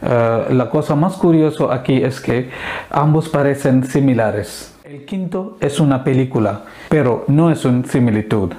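A man in his thirties from Kerala in India, a native Malayalam speaker with an Indian accent, presenting to camera in a second language with halting, deliberate phrasing. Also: medium pitch, warm tone. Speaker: video host. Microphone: clip-on lapel mic.

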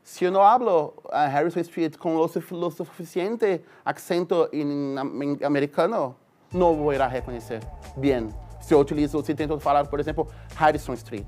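A man's voice: fairly high pitch, darkish tone, faint music behind. Man with Brazilian Portuguese accent. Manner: halting, restarts mid-phrase. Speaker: tech expert. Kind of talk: interview answers. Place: room with brick wall.

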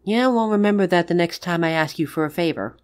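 A woman's voice: fairly low pitch, quick pace, natural voice. A woman playing a caring but exasperated witch in an audio roleplay, talking frankly and coaxingly to a friend. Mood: resigned. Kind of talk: dramatic audio roleplay acting.

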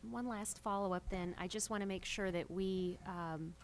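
A woman's voice: medium pitch, steady tone, moderate pace, slight background noise. A woman speaking as a council member at a public meeting, earnest and roundabout in her phrasing.

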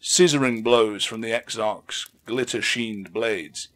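A man narrating an audiobook story in a measured, theatrical way, with emphatic swells. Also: lowish voice, a bit bright. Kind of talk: dramatic scripted story narration.

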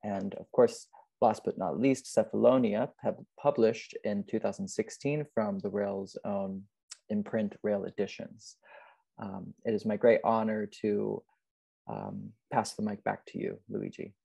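A man's voice: lowish voice, little pitch movement, a little slow, creaky, dark tone, breathy edge, no echo. A young man introducing a poet as host, speaking hesitantly and deferentially on a video call. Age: young adult. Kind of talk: spoken introduction. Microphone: wired earphones mic.

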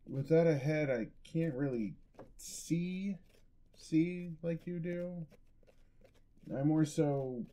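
A man playing a gentle, sheepish, affectionate headless horseman boyfriend in a soft-spoken ASMR roleplay, with hesitant delivery. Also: mid-range voice. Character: gentle, clumsy male dullahan boyfriend, centuries old. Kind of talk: ASMR roleplay, intimate acted monologue.

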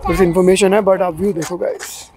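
A man's voice: sits high, fairly even intonation, brisk, over music. A man in his thirties from India chatting casually to viewers as a travel vlogger, switching between languages mid-sentence. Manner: chatty, conversational, addressing viewers. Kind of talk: casual vlog narration to camera, Hindi mixed with English. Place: outdoors at mountain overlooks and inside a car. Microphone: phone at arm's length.

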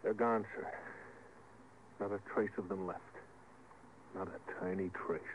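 A man's voice: low voice, steady tone, measured pace. An actor playing an earnest, deferential starship officer who reasons with his superior in a vintage radio drama. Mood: hushed, puzzled. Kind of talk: mid-century radio drama acting. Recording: muffled, hissy vintage radio recording.

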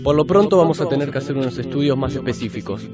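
A man acting a detached doctor in an audio drama. He speaks extremely fast at a middling pitch, with clear music behind him. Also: lightly acted. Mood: clinical, detached. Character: brisk, detached male doctor.

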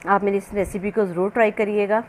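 Female home-cook host, casual step-by-step narration, mid pitch, faint noise on an amateur recording. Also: steady tone, quick pace. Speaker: home cooking channel host. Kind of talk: casual recipe demonstration narration.

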